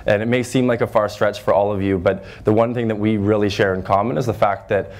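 A man in his twenties from Northern Ontario with a loud voice, giving an earnest talk to a high school class with lots of fillers and restarts. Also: steady tone, fast talker. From Northern Ontario, Canada. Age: 20s. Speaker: guest speaker. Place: high school classroom with chalkboards, audience seated.

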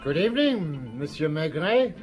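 High-voiced, affable restaurant owner. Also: male voice, very animated, normal speed, a little mellow. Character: affable but guarded male restaurant owner. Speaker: actor in a radio drama. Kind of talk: radio drama acting, chatty dialogue. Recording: music behind the voice. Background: music.

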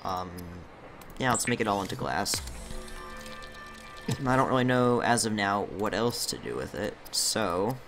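A young man commentating his own gameplay in a casual, chatty way, muttering to himself, his voice slightly rough from a recent illness. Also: lowish voice, expressive, measured pace, long pauses, over music. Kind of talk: casual let's-play commentary.

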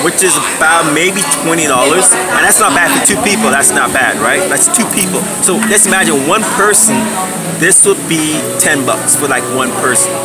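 A middle-aged American man chatting casually to camera in an open-air restaurant at night, with a mild stutter and many fillers and restarts. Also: fairly high pitch. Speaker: travel vlogger. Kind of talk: casual vlog chat. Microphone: camera held at arm's length. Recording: loud music and restaurant noise behind.

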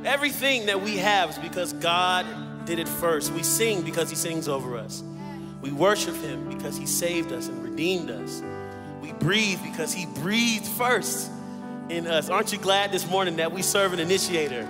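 A man's voice: high voice, moderate pace, loud music behind the voice. A man in his thirties leading worship on a church stage, upbeat and encouraging the congregation. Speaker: worship leader. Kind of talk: exhorting a congregation between songs. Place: large church stage indoors. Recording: reverberant church hall with band playing.

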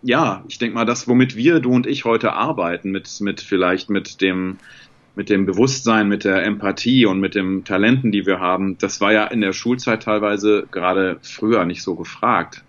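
Low-voiced man on a muffled call. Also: moderate pace. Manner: reflective, thinks aloud with many fillers and restarts. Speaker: guest voice actor. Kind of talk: casual video-call interview. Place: home room with bookshelves. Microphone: video call.

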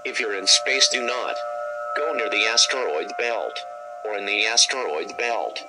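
Low-pitched man reading a mock emergency alert. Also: expressive, measured pace, over loud music. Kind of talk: scripted emergency broadcast narration.

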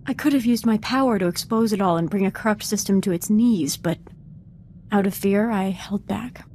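A woman's voice: medium pitch, normal speed, dark tone, slight background noise, natural voice. A woman playing a humble, self-doubting young Jedi apprentice in a video game, speaking formally and earnestly. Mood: confessional, hushed.

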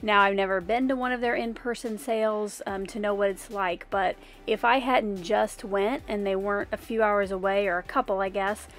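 A woman's voice: medium pitch, fairly even intonation, normal speed, hardly any pauses. A woman in her forties, a craft vlogger, chatting in a friendly, upbeat way into a phone held at arm's length. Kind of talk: casual vlog chat and voice-over narration. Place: inside a car and outdoors at a rest area.

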